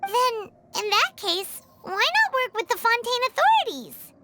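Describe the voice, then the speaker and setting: A tiny, impulsive sprite for a cartoonish game. The voice is extremely high, squeaky and childlike, bouncing up and down with eager, naive curiosity, over loud music. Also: female voice, very animated, measured pace, exaggerated voice. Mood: eager, puzzled. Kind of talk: cartoonish video game character acting.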